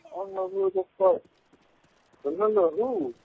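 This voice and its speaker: Animated higher-voiced man on noisy phone call. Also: unhurried, vocal fry. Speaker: prank call recipient. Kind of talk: casual, profane phone talk. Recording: noisy phone call, game gunfire behind. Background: video game gunfire.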